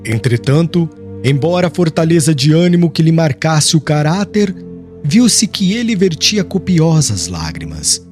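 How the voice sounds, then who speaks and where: A man's voice: on the high side, big pitch swings, a little slow, a little mellow. A Brazilian man narrating an audiobook in a solemn, theatrical, formal literary style. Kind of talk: dramatic scripted literary narration. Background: background music.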